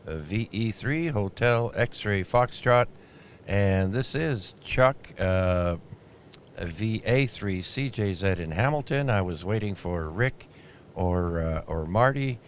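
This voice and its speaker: A man acting as net controller on an amateur radio net, talking in a relaxed, halting way with many fillers. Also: lowish voice. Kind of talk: ham radio net chatter. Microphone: radio transceiver mic. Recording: noisy shortwave radio audio.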